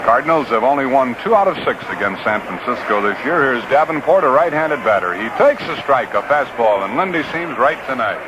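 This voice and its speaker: A man's voice: mid-range voice, big pitch swings, fairly quick, hardly any pauses, lo-fi sound. Noisy vintage radio baseball announcer, American man. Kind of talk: live radio baseball play-by-play commentary. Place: outdoor ballpark broadcast booth.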